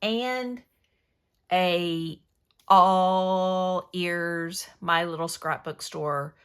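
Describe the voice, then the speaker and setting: A middle-aged woman with a hoarse voice, casually narrating a scrapbooking tutorial at her craft table in a chatty, rambling way that often corrects itself. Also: on the low side, extremely slow. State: hoarse from yelling at a game. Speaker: scrapbooking channel host.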